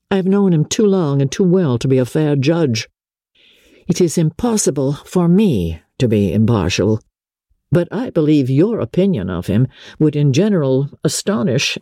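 A woman voicing a genteel, smooth-talking young gentleman officer in a dramatic audiobook, courteous, confiding and a little hesitant. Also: sits low, no room sound, clean studio sound, heavily acted. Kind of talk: dramatic audiobook character reading.

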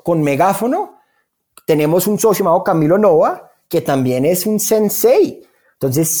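A man's voice: a little high, mellow. A man with a Colombian accent answering interview questions in an informal, conversational way, often repeating words for emphasis. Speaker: interview guest, startup founder.

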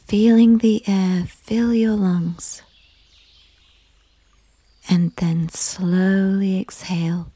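Slow, breathy, near-whispering woman. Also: medium pitch, flat delivery, no room sound. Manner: gentle, unhurried, soothing delivery. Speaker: guided meditation narrator. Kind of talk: slow scripted sleep visualization, soft and whispery.

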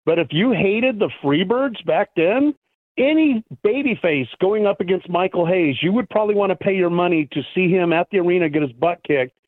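A man's voice: high voice, animated, normal speed, no room sound. A male guest reminiscing enthusiastically in casual chat, with a slight tickle in his throat. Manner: enthusiastic, reminiscing, many "you know" fillers. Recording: tinny phone line, dry.

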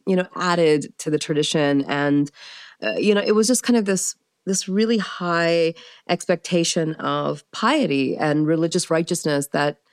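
Chatty woman, smooth and close. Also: low voice, moderate pace, no echo. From Pakistan, raised in the United States. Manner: animated storyteller with frequent fillers and laughs. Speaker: interview guest. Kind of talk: casual conversational interview.

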